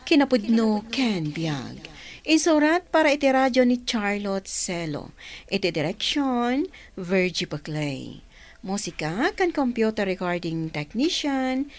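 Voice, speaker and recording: A woman serves as narrator of a radio drama. She reads very slowly and deliberately, with sweeping intonation in a mid-pitched voice. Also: big pitch swings. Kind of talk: scripted narration, reflective radio drama outro with credits.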